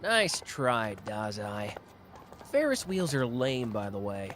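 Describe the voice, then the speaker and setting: A man voicing a brash, hot-tempered young man who swears and flares up fast in an audio drama. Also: medium pitch, sing-song, background noise. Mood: irritated. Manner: gruff, swearing, quick to flare up. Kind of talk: ASMR roleplay audio drama.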